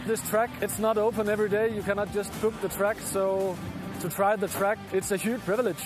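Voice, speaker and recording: A man in his forties from Denmark, speaking in a halting, enthusiastic way about racing. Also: high voice, little pitch movement, over music. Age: 40s. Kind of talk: interview clip.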